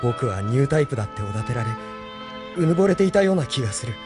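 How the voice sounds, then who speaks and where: A man's voice: mid-range voice, sing-song, racing, over music, lightly acted. A man playing a brooding, self-doubting young resistance leader in a Japanese audio drama, earnest and introspective.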